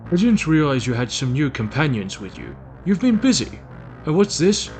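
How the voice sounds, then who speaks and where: Man voicing a smug, animated jackal villain. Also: fairly high pitch, moderate pace, soft background music. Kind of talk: audio drama acting.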